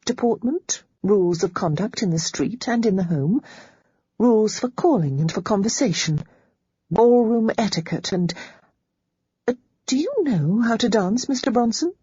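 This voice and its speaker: A woman's voice: mid-range voice, expressive, normal speed, dark tone, breathy edge, no echo, lo-fi sound, voice pushed up. A woman voicing a prim, proper young lady who patiently corrects others, lifting her voice above her own.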